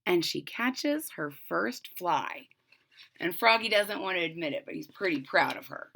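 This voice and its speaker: Female storybook reader: slow, highly animated pitch, expressive narration for young children. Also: vocal fry. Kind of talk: children's read-aloud narration with explanations.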